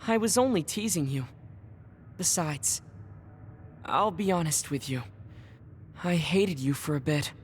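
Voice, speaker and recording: An actor playing a serious, formal young man in a game cutscene, speaking in a measured, polite way. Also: fairly high pitch, slight background noise, lightly acted. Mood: composed. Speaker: game character. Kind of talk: dubbed game cutscene dialogue. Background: game music.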